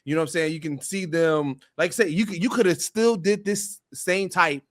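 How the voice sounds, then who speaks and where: A man's voice: sits high, moderate pace, no room sound, studio quality. A man speaking as a podcast panelist, explaining his opinions in an emphatic, colloquial way. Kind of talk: casual pop-culture discussion.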